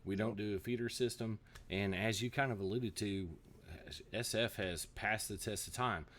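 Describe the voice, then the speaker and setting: A man's voice: fairly low pitch, fairly even intonation, normal speed, fairly bright tone, a little hiss or hum. A man hosting a casual interview, affirming his guest and prompting with questions.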